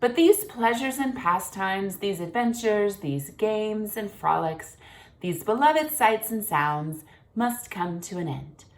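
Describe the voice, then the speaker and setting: A woman's voice: mid-range voice, animated, slow, rough recording. A woman reading aloud as a long-winded, grandiose father swan in a children's story, speaking with theatrical grandeur. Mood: grandiloquent.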